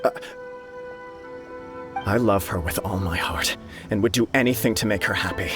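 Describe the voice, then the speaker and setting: A devoted young nobleman, played by a man in a game cutscene, low-pitched and sincere. Gentle and earnest throughout. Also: normal speed, over music, natural voice. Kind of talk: video game cutscene dialogue.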